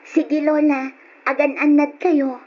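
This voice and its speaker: An actress playing a gentle, dutiful young granddaughter with an Ilocano accent, respectful and hesitant in old radio drama style. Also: female voice, high voice, flat delivery, takes it slowly. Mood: obedient. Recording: noisy lo-fi radio drama recording.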